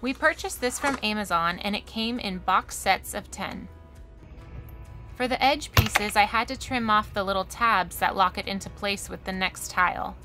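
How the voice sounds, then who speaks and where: A woman's voice: medium pitch, normal speed, over music. A woman giving a matter-of-fact, step-by-step scripted voice-over about renovating her home, recorded close to the mic. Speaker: RV renovation vlogger.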